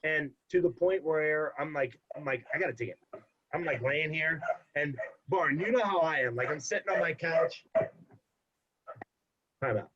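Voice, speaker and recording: A young man in casual podcast talk, telling a long story with animated repeats for emphasis. His voice sounds congested. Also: a little high, normal speed, long pauses, a little hiss or hum. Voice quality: husky, congested. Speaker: podcast guest. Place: home. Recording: dull lo-fi podcast audio.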